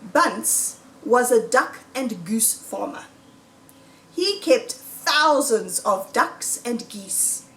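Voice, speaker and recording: A high-voiced woman reads a children's storybook aloud with dramatic emphasis. Her pitch swings in a lively way as she narrates. The recording is roomy and echoing. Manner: deliberate, dramatic read-aloud delivery with emphasis. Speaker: storyteller reading a children's book. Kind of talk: expressive scripted storybook narration. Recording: roomy echo, distant microphone.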